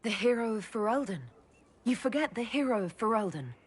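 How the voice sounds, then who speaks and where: A woman's voice: on the high side, lively intonation, a little fast, breathy edge. A woman playing a composed, diplomatic leader in a video game, articulate and reasonable. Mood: reflective. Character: composed female leader mage, diplomatic, steady.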